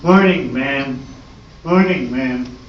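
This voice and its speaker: Earnest police partner played by a man, very slow formal delivery, radio play, muffled room recording. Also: medium pitch, close to an everyday voice. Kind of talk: amateur stage radio play, police-drama parody. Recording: echoey muffled room, distant, faint noise.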